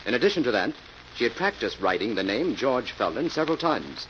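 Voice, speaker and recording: An actor playing a cool, confident crime-fighter in an old radio mystery, speaking with assured deliberation. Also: male voice, mid-range voice, sing-song, a little fast, lightly acted. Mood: assured, explaining. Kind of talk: 1940s radio acting. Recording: dull, faintly noisy old radio recording.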